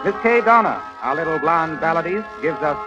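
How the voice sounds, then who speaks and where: A man's voice: a little high, animated, a little fast, lo-fi sound, music in the background. An American man announcing in a scripted 1930s radio style, on a crackly old broadcast recording.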